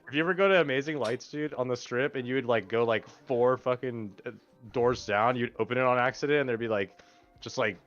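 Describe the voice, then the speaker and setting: A man's voice: medium pitch, brisk, fairly bright tone, muffled recording, faint music behind. A man in his late twenties telling rambling, profane stories on a casual stream. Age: late 20s. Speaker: stream co-host.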